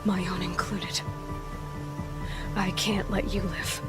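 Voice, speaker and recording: A woman's voice: mid-range voice, level tone, takes it slowly, loud music behind the voice, close to an everyday voice. Woman acting a stern, terse young warrior. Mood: cold, resolute. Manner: terse, commanding, controlled. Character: stern, vengeful young female warrior interrogating a captive. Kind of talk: video game voice acting.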